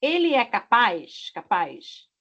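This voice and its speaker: A woman with a Rio de Janeiro accent teaching an online Portuguese class, drawing out her example words slowly. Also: on the high side, sing-song, fairly bright tone. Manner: slow, stretched-out example words. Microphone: video call. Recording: muffled video call audio.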